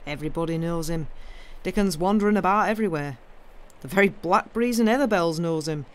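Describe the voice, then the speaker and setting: A narrator putting on a gruff old moor man. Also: mid-range voice, a little fast, natural voice. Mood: animated, frank. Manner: gruff, blunt, grumbling. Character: surly, blunt old Yorkshire gardener man.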